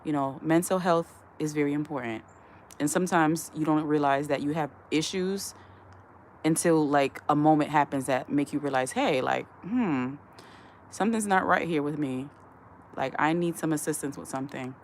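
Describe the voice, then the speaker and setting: A woman's voice: deep voice, normal speed, slight background noise. A woman hosting a live stream in a casual chat, reading viewer comments aloud, answering them and giving earnest advice. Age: adult. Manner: earnest, advising tone. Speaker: livestream host.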